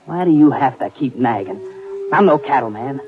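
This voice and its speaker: An actor as a sulky, resentful younger brother. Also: male voice, mid-range voice, lively intonation, lightly acted. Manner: sullen, resentful, quick. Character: sulky, defensive young adult male drifter. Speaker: younger brother, reluctant cowhand. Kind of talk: 1950s radio drama acting. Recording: tinny lo-fi old radio broadcast. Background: music.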